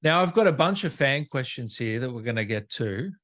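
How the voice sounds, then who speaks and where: Male interviewer, medium pitch, relaxed conversational podcast hosting. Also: brisk. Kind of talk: casual chat, reading fan questions.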